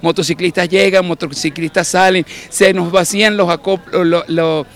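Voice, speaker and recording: A man's voice: sits high, fairly even intonation, normal speed. A man giving a devout, emphatic interview answer, stumbling over words outdoors. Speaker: volunteer interviewee.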